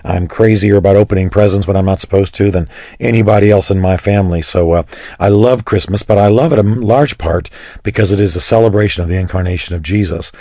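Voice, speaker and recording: A man hosting a religious radio program, earnest and chatty, his voice a little raspy today. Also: low voice, fairly even intonation, fast talker, mellow, no echo, studio quality. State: slightly raspy voice. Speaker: radio host. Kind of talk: warm-up introduction on radio.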